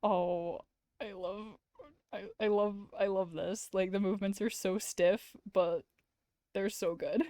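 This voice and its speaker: A woman talks casually as a game streamer, in a mid-pitched voice. She is informal and opinionated, often saying "like". The recording is dry and close. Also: steady tone, takes it slowly, dark tone, no echo. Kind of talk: casual commentary and visual novel read-aloud.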